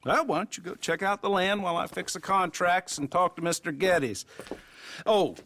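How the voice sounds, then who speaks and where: An actor playing a smug small-town banker in an Old West video game, with an American accent and a wry, teasing, patronizing manner. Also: male voice, high voice, moderate pace, fairly bright tone. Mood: businesslike, smug. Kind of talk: video game western acting.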